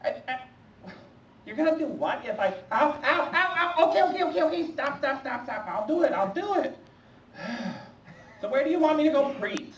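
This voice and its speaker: A performer voicing a nervous young prophet in a children's church skit, squeaky and lisping, stammering as he complains. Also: big pitch swings, normal speed, long pauses, darkish tone. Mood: panicky. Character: young reluctant prophet Jeremiah, nervous and whiny. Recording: roomy, distant, muffled, faint noise.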